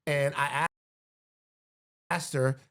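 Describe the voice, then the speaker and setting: A man's voice: on the high side, flat delivery, slow pace, fairly bright tone. A man speaking as a guest in a casual political conversation, emphatic and building his points through repetition.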